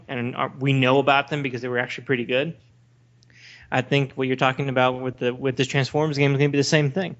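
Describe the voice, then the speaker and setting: A man's voice: mid-range voice, fairly bright tone. A man hosting a podcast, talking casually and bluntly as he explains his opinions. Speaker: podcast host. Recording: dull lo-fi recording.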